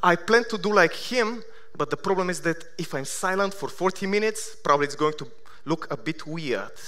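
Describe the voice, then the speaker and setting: A man's voice: fairly high pitch, moderate pace. Bulgarian man giving a dry-humored keynote. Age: 30s. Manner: self-deprecating deadpan humor. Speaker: conference speaker. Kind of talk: conference keynote talk. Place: large conference hall.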